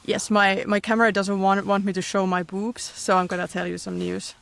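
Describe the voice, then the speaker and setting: A woman's voice: medium pitch, level tone, a little fast, creaky, a crowd in the background. A woman with a Finnish accent, co-hosting a casual podcast, giggly and playful, often chiming in to agree. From Finland. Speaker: podcast co-host.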